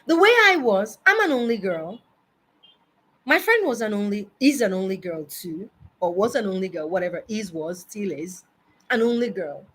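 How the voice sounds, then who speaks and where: A Nigerian-accented woman in her early forties giving a personal advice monologue, emphatic and deliberate, repeating words for stress. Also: mid-range voice, animated, fairly bright tone, breathy edge. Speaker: speaker addressing parents.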